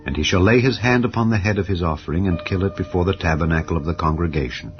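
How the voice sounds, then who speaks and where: Deep-voiced solemn male scripture narrator. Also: lo-fi sound. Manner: slow, measured, solemn. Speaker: Bible narrator. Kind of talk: dramatized scripture reading. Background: soft ambient music.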